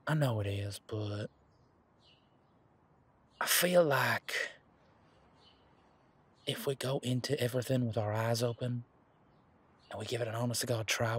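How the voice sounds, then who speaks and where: A man playing an earnest, teasing werewolf boyfriend in an intimate roleplay audio drama, speaking casually and haltingly with a husky voice. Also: medium pitch, fairly bright tone. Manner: casual, halting, conversational with trailing pauses. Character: male werewolf boyfriend, earnest, hopeful, teasing former bodyguard.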